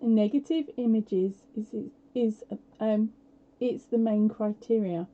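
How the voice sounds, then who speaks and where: A woman hosting a live prize quiz on her stream, chatty and self-deprecating with lots of fillers, her voice a little croaky. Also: medium pitch, steady tone, slow. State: slightly croaky voice. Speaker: podcast host running a live prize quiz. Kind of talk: casual live-stream chat. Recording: dull lo-fi home stream audio.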